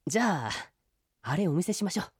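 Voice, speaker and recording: A woman's voice: lowish voice, sing-song, quick pace, slightly rough, heavily acted. A woman voicing a lonely, polite but pushy male talking sea turtle in a comedic radio drama. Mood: pleading, eager.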